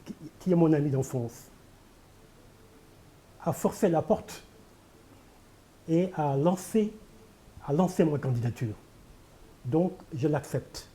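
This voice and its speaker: Dark-voiced older man, emphatic. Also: on the high side, slow pace, pauses often. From Senegal. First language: Pulaar. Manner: emphatic, repeats key phrases, rhetorical. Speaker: poet and candidate guest. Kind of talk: televised interview.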